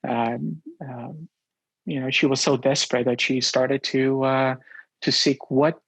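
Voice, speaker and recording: A man in his forties, originally from Romania, telling his life story in an interview, with many pauses and fillers. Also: mid-range voice, dry recording, poor audio. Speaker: guest.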